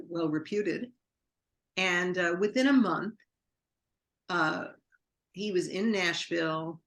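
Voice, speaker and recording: A woman from New York, a guest in an interview, telling long rambling anecdotes full of quoted dialogue. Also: lowish voice. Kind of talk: interview storytelling.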